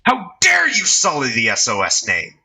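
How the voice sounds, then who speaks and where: A man voicing a hot-tempered young male gang leader who shouts and snarls threats. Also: fairly high pitch, huge pitch swings, normal speed, gravelly, a little hiss or hum, pitched above the performer's own voice, heavily acted. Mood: angry shouting.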